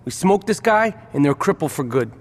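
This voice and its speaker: A blunt gang member in a video game, with a slightly high voice. A long reverb trails his lines and faint noise sits behind. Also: very animated, moderate pace.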